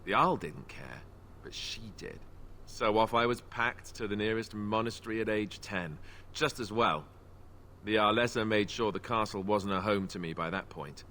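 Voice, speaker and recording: A man's voice: fairly low pitch, moderate pace, bright, forceful tone, slight background noise. An actor playing a wry young male knight who jokes and banters in a video game. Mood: earnest. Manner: joking, self-deprecating banter.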